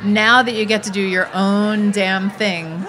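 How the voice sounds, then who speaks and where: A woman interviewer asks quick, curious questions on a podcast. Her manner is brisk and friendly. Music plays clearly in the background. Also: medium pitch, steady tone.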